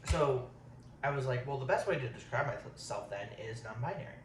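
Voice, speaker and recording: A man in his thirties talks casually while eating on camera. He rambles from topic to topic, fills gaps with um, and keeps an everyday middle pitch. He sits back from the mic in an echoey room with a faint hiss. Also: expressive, normal speed. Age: 30s. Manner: rambling, says um a lot. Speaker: vlogger. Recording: roomy, distant, faint hiss.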